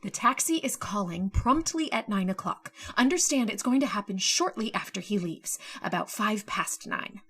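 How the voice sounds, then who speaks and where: A woman's voice: mid-range voice, lively intonation, moderate pace, few pauses, fairly bright tone, slightly rough. An actress playing a scheming, controlling woman in an old-time radio thriller, urgent and commanding.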